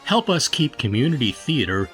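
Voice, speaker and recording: A man's voice: medium pitch, very animated, moderate pace. A man announcing in the scripted style of a radio show sign-off. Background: faint music.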